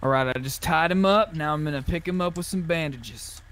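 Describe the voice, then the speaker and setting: High-voiced man bantering in an online game. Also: a little fast, a bit bright. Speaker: player in online roleplay game. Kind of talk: casual in-game roleplay chat.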